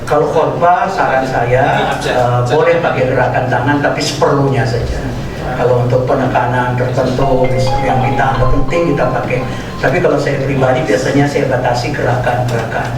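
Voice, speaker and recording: A man's voice: mid-range voice, level tone, moderate pace, music in the background. A man in his sixties from North Maluku, a veteran preacher training imams and Friday preachers, who repeats phrases for emphasis. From North Maluku, Indonesia. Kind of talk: workshop talk with questions from trainees. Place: large echoey mosque hall. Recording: echoey hall, distant mic, background noise.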